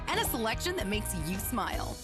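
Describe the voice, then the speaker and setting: A woman's voice: a little high, sing-song, a little fast, over loud music. Bouncy female car-ad announcer. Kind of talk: upbeat car dealer commercial.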